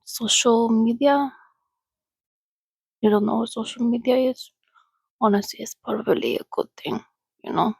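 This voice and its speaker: Soft, breathy, creaky-voiced female vampire, acted by a woman. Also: on the high side, steady tone, no echo, heavily acted, poor audio. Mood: chatty, welcoming. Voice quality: soft, breathy, often dropping to a whisper. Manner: unhurried, gentle, chatty, reassuring. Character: soothing, sociable female vampire, centuries old, well-mannered. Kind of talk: soft-spoken ASMR roleplay.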